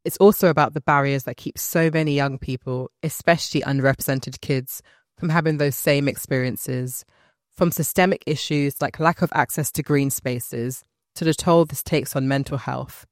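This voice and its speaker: A podcast host of medium pitch reading scripted narration. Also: fairly even intonation, normal speed, no echo, studio quality. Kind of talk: scripted narration over music. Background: music.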